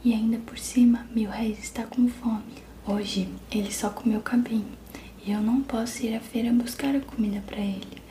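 A woman's voice: a little high, steady tone, moderate pace, warm tone, roomy sound, a little hiss or hum, lightly acted. A woman whispering the lines of a worried young boy on the run in a storybook reading.

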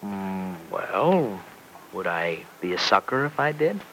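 A man's voice: on the low side, big pitch swings, slow, vocal fry, a bit bright, full character voice. An actor playing a blunt, loyal young American man in an old-time radio serial, colloquial and defensive. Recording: noisy old radio broadcast recording.

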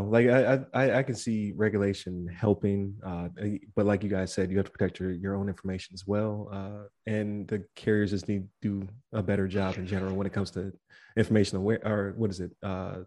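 A man's voice: low-pitched, a little fast. A man co-hosting a podcast in casual chat, rambling with fillers, his voice starting to give out. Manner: relaxed and rambling, many fillers, reads out the show's links at the close. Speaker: podcast co-host.

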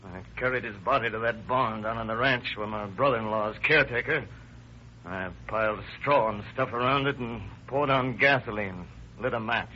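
An actor playing a defiant, tough-talking murder suspect in an old-time radio crime drama, full of gangster slang. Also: male voice, medium pitch, moderate pace, lightly acted. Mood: desperate, defiant. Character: defiant hard-boiled male murder suspect, cornered criminal. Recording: dull vintage radio recording, faint noise.

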